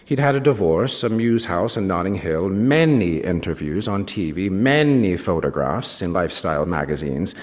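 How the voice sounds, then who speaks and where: An actor playing a wry male British novelist, reading a first-person literary story in an expressive, savouring way with small asides. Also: deep voice, normal speed, hardly any pauses. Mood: wry, confiding. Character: wry male British novelist recounting a rivalry with his friend. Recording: reverberant hall, stage reading.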